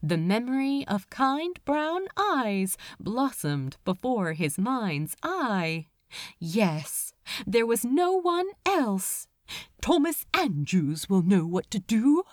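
Woman, audiobook narrator, slow pace, animated intonation, dramatic storytelling, scripted fiction. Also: on the high side, no room sound, clean studio sound.

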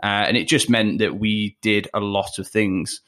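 A young man from Leicester talks loosely, his speech thick with ums and self-deprecating asides. His voice sits a little low, and he sounds like a guest on a remote podcast call from home. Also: fairly even intonation, a little slow, fairly bright tone. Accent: Leicester English. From England. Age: 20s. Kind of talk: casual interview chat.

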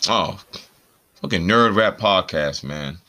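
An American man in a casual, opinionated podcast rant, speaking in an animated, indignant way with plenty of profanity and slang. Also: deep voice, takes it slowly. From Puerto Rico and the eastern United States. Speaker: podcast host.

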